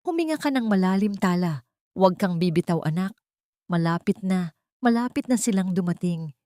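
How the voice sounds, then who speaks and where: A woman's voice: fairly low pitch, expressive, normal speed, mellow, no echo, clean studio sound, close to an everyday voice. Woman as a motherly, emotional old Filipina. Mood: urgent encouragement. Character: caring elderly woman midwifing, motherly and urgent. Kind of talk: Tagalog radio drama storytelling.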